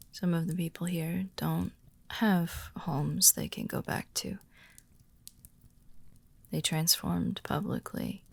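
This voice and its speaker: A woman performs a calm female werewolf of the pack in an intimate audio roleplay. Her voice is lowish and very gravelly, often sinking to a breathy near whisper. She speaks slowly and gently, reassuring a newcomer with care. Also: no echo, exaggerated voice. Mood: warm, welcoming. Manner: slow, gentle, reassuring, with deliberate pauses. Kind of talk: soft-spoken immersive ASMR-style audio roleplay.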